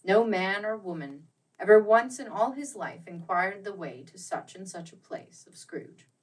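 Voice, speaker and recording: A woman narrating a storybook dramatically, with deliberate phrasing that swells and drops to a hush. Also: medium pitch, animated, distant mic, rough recording. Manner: theatrical, deliberate phrasing with strong swells and hushes.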